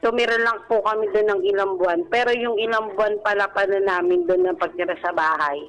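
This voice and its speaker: A Filipino woman calling in to a radio advice show, telling her personal story in a halting, polite way. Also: mid-range voice, animated, a bit bright, rough recording. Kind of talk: personal account in conversation. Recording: radio broadcast with music underneath. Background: background music.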